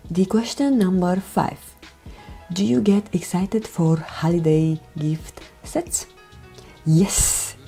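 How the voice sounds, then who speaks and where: A woman from Romania with a Romanian accent, speaking a gentle, careful voiceover for her makeup tutorial, often dropping near a whisper. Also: on the low side, husky. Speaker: beauty vlogger. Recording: voiceover with background music.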